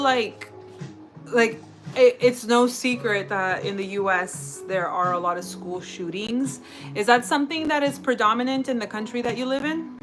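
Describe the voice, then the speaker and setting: A woman's voice: mid-range voice, a bit bright. An American woman hosting a casual livestream, chatting with viewers as she reads out their comments and replies. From United States. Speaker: livestream host. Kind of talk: casual chat with live viewers. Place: home kitchen while cooking. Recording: music playing behind the voice. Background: music.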